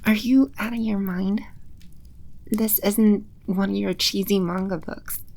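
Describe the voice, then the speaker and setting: A woman playing a haughty, scornful female demon in a scripted audio roleplay, condescending and sardonic, with breathy edges to her voice. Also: medium pitch, fairly even intonation, unhurried, darkish tone. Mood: indignant, scornful.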